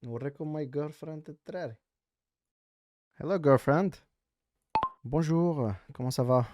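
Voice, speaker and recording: A man with a Moroccan accent, live-streaming a casual, teasing chat, halting now and then with fillers. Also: a little high, mellow, lo-fi sound, slight background noise.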